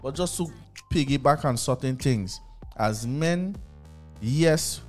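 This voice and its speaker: A man's voice: medium pitch, animated, takes it slowly, darkish tone. A man with a lilt, talking in a plain mid-range voice. He speaks earnestly and repeats words when he begins a thought, as radio co-hosts do in casual discussion. Faint music plays underneath. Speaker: radio show co-host.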